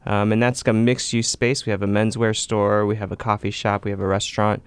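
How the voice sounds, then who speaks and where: A man from Taiwan, a chef and restaurant owner, talking about his restaurants in a relaxed, plain-spoken way as a guest on a radio show. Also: on the low side, a little fast, smooth, dry recording. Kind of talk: casual interview.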